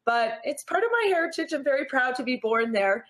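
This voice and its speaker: High-pitched, earnest Canadian woman. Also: sing-song, fairly quick, fairly bright tone. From born in Newfoundland, grew up in Nova Scotia, Canada. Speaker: interview guest, natural athlete. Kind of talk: motivational interview chat.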